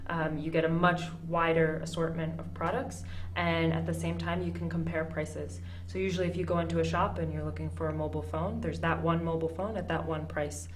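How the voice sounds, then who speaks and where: A woman with a lowish voice answers a TV business interview as a company country manager. She sounds upbeat and fluent, with an occasional "um" as she explains. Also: little pitch movement, fairly quick, hardly any pauses, a little hiss or hum. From United States. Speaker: country manager of an online shop.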